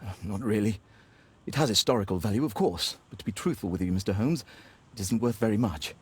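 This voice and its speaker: An actor playing an earnest, upper-class Victorian club gentleman in a video game, British, hesitant and formal. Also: lowish voice, expressive, fairly quick, slightly rough. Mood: formal, guarded. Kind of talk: scripted video game acting.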